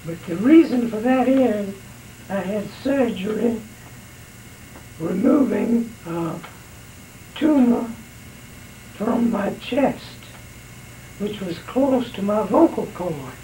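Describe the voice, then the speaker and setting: A man in his sixties with a raspy voice left by throat surgery, slowly recounting memories in a home oral history interview. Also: high voice, expressive, dark tone, a little hiss or hum, rough recording. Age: 60s. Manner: slow, unhurried storytelling with pauses.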